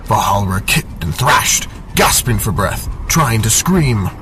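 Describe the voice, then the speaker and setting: A low-pitched male narrator delivers a fantasy tale in a deliberate, theatrical radio-drama style. Cinematic soundtrack music swells very loudly behind him. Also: expressive. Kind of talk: dramatic scripted story narration. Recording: very loud music under the voice.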